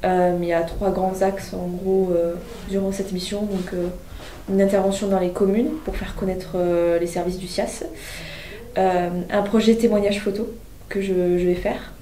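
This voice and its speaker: A woman of twenty-one, a civic service volunteer, speaking in a measured way about her work. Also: mid-range voice, level tone, dark tone. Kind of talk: personal testimonial. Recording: roomy distant recording, faint noise.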